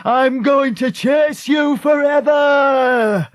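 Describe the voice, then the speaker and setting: A woman voicing a menacing male storybook villain, screaming long, drawn-out threats. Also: high-pitched, shouting, pitched above the performer's own voice. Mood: vengeful, raging.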